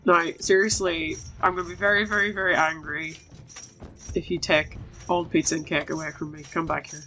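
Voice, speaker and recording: Bright-voiced woman playing a game, music behind. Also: sits low, fairly even intonation, brisk, rough recording. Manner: playful, talks to game characters. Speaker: video game streamer. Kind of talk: casual gameplay commentary and reading out game dialogue.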